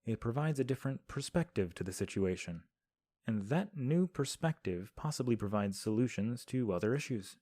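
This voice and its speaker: An actor playing a composed young male prince who gives counsel in a measured, formal way, recorded at home. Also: mid-range voice, expressive, dark tone, dry recording, lightly acted. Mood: calm. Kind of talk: audio drama acting.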